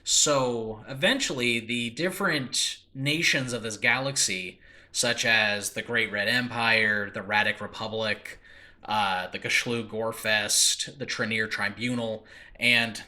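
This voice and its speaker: A man's voice: on the low side, slow pace, bright, forceful tone. An American man hosting a podcast solo, talking informally and rambling with frequent filler asides. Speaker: podcast host and audio drama creator. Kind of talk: casual solo podcast talk. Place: room in a new apartment, makeshift recording space.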